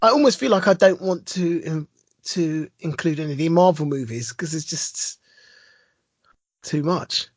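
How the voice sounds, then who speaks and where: A man with a British accent co-hosting a podcast, talking excitedly and laughing a lot. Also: fairly high pitch, normal speed, no echo. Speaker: podcast co-host. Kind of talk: casual chat.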